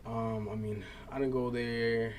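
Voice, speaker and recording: A man's voice: medium pitch, level tone, measured pace, darkish tone, slight background noise. A young man from Massachusetts, a guest singer chatting casually in a laid-back way with lots of filler words. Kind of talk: casual chat.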